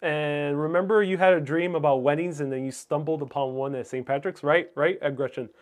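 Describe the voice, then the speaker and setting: A man with a slight Spanish accent, a native Spanish speaker, hosting a casual livestream chat and often pausing mid-sentence to search for words. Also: a little high, fairly even intonation. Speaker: livestream host.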